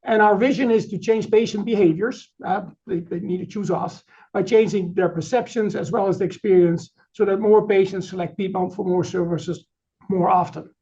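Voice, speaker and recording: A middle-aged man from the Netherlands with a Dutch accent, giving a webinar presentation in a halting way, full of fillers and restarts. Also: sits high, fairly even intonation, warm tone, highs cut off. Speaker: chief marketing officer presenting.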